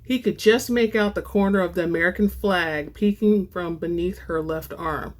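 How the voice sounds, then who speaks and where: A woman hosting a dramatic book reading, moving between informal, expressive chat and narration. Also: fairly low pitch, normal speed. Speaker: host and reader of book samples.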